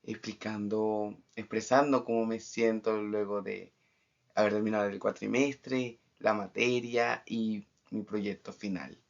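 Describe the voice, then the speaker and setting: A young man from Argentina with an Argentine accent, a student giving a formal final project presentation in measured phrases with pauses. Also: fairly low pitch, flat delivery, vocal fry. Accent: Argentine Spanish. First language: Spanish. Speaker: international trade student. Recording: dull lo-fi recording.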